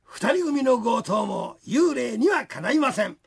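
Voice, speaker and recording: A woman narrates a drama from a script. Her voice is high and very husky, and her delivery is dramatic with lively swings in pitch. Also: a little slow.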